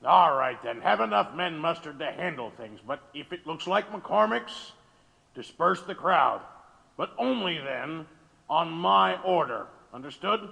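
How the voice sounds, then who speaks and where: Blustering mayor, high gravelly voice, curt, sardonic, authoritative, long reverb, audio drama. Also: male voice, animated, a little slow, bright, forceful tone, full character voice. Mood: commanding. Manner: curt, commanding, sarcastic. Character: brusque, exasperated big-city mayor, sardonic and authoritative. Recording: long echoing hall reverb.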